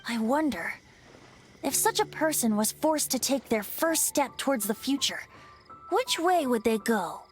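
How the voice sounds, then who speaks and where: A playful young bard in a fantasy game, high-pitched and witty. The lines lilt up and down in a teasing, reflective way over faint music. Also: female voice, lively intonation, unhurried. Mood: musing, amused. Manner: teasing, thoughtful. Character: playful, musing young bard with airy wit. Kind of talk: video game voice acting. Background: faint background music.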